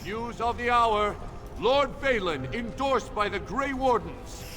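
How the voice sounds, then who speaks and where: An actor playing a town crier in a video game, loudly declaiming the news. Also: male voice, extremely high pitch, animated, takes it slowly, full character voice. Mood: proclaiming. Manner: loud, declaiming. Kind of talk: video game street proclamation. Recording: long hall reverb, music behind.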